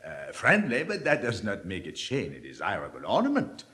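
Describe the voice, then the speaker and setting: Suave cynical libertine, lively swinging intonation, declamatory 1950s stage drama, long hall reverb. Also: male voice, fairly low pitch. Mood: sardonic, measured. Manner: rhetorical, deliberate, declamatory. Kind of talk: 1950s theatrical dramatic reading.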